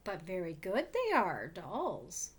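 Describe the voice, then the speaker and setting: A woman's voice: fairly low pitch, wildly swooping pitch, slow pace, voice pushed down, heavily acted. A woman voicing an odd, greedy storybook creature, fierce and gleeful, boastful and smug in the way it insists.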